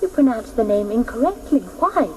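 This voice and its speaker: A woman playing a gentle, curious young girl from a legendary land in a radio drama, speaking earnestly and pleadingly. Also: fairly high pitch, sing-song, moderate pace. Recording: faintly noisy old radio broadcast.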